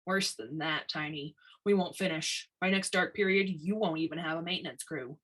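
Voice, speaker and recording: A woman voicing a plain-spoken older male supervisor, wry, steady and fatherly, in a storybook reading. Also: sits low, moderate pace. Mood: firm.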